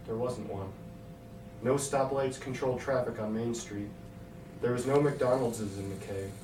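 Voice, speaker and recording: A man's voice: mid-range voice, steady tone, unhurried. A man reading a scripted story aloud as its narrator. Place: hotel room. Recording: echoey hotel room, distant mic, noisy.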